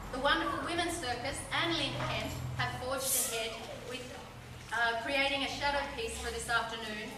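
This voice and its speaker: Female festival presenter, fairly high voice, addressing an audience; distant, noisy live theatre recording. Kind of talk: reading an announcement to a live audience. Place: theatre stage before a seated audience. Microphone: far camera in the audience. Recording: echoey, noisy hall from far off.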